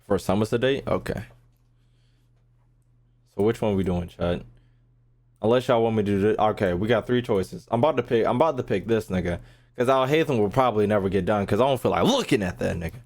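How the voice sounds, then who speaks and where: A man's voice: fairly low pitch, a little fast, long pauses, darkish tone. A man livestreaming a game, chatting casually to his viewers in slangy, profane, joking talk. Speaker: game streamer.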